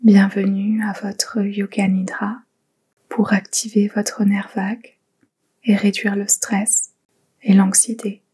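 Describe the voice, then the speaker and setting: A woman leading a guided yoga nidra relaxation in a soft, breathy, whispery voice, giving gentle, soothing instructions with pauses. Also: mid-range voice, flat delivery.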